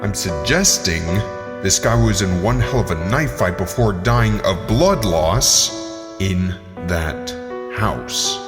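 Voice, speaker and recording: A man's voice: low voice, expressive, takes it slowly. A man playing a blunt, sceptical coroner in a horror audio drama, with a matter-of-fact delivery. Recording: reverberant, loud music behind.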